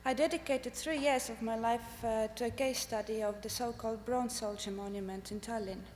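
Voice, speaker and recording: A woman from Estonia, a native Estonian speaker, lectures from a podium at a conference. Her voice sits a little high and her delivery is careful and halting, broken by frequent fillers. The sound carries a long hall reverb over a faint hum. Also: fairly even intonation, darkish tone. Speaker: artist presenting at a summit. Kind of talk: conference presentation with slides.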